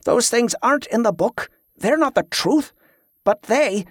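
A fervent, frustrated zealot man from a fantasy audiobook, as acted out by the narrator. He speaks in a raised pitch with insistent, indignant accusation. The sound is dry and close. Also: moderate pace, no room sound, close to an everyday voice. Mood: desperate.

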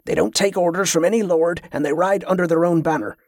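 A man's voice: a little high, fast, darkish tone, no room sound, studio quality, natural voice. Man voicing a wry, needling camp cook. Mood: muttering, sardonic. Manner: muttering, wry, colloquial. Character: sardonic, needling male travelling cook, fond of provoking.

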